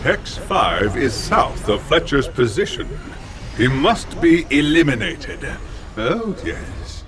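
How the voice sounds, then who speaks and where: An actor playing an elderly, fatherly doctor in a video game, slow and deliberate, repeating himself with quiet resolve. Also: medium pitch, very animated, music in the background. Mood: grave, resolute. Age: older. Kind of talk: video game cutscene acting.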